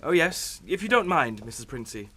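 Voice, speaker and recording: An actor playing a polite captain in a suspense drama, speaking in a halting, courteous, uneasy manner. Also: male voice, on the high side, sing-song, sharp tone, heavily acted. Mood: nervous, halting. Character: polite, uneasy English captain, a reluctant guest. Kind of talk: radio suspense drama acting.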